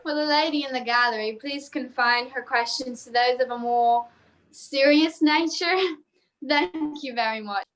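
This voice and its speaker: A woman's voice: sits high, a little slow, a bit bright, rough recording. A woman playing a poised, formal stage memory marvel in a radio play, precise and showy in her address to an audience. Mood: amused, haughty. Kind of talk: radio play acting, stage act patter. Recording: video call with faint noise, clicks.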